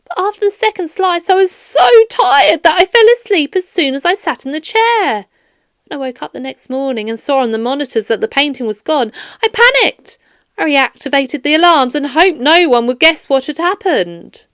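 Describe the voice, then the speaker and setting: A woman's voice: high voice, expressive, normal speed, fairly bright tone, no echo, studio quality. A woman voicing a flustered, defensive male security guard in a children's audiobook, pleading and protesting emphatically. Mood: guilty, sheepish. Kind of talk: children's audiobook character dialogue.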